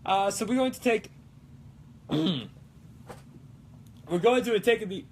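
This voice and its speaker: A man doing a high, excitable cartoon Italian guy. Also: takes it slowly, a little hiss or hum. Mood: playful, explaining. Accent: mock Italian. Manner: exclamatory, playful, sing-song broken English. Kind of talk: live-streamed prop-making vlog in a put-on accent.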